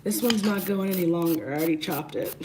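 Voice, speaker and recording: A woman with a hoarse, raspy voice sits fairly low in pitch, sounding like she is losing it. She chats casually through a nail tutorial, greeting viewers by name as she works. A faint hiss of noise runs underneath. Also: quick pace. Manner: chatty, greets viewers by name mid-task. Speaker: beauty livestream host.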